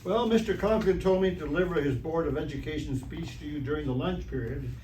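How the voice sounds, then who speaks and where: A man's voice: fairly high pitch, dark tone, close to an everyday voice. A man playing a dim, polite, bumbling teenage athlete in an amateur radio comedy, speaking hesitantly and apologetically. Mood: earnest, confused. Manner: hesitant, apologetic, slow-witted. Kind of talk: amateur stage re-creation of 1940s radio comedy. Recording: echoey distant stage recording, faint noise.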